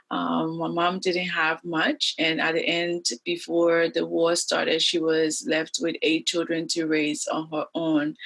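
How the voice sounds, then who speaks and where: A guest in an interview, a woman from Liberia in her forties, recounts her life in an earnest, thoughtful manner. Her voice carries a gravelly fry under a bright, forward tone. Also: deep voice. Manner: earnest, reflective storytelling.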